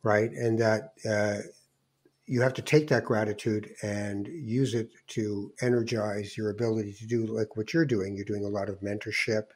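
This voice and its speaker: A middle-aged man hosting a casual, reflective conversation, expressive and philosophical in how he talks. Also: fairly low pitch, moderate pace. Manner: expressive, philosophical, rhetorical questions. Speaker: podcast interviewer, spiritual counselor.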